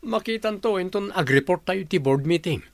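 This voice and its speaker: An actor playing a stern, demanding company boss in a radio drama, with a gravelly voice. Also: male voice, sits high, lively intonation, moderate pace, no echo. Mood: businesslike.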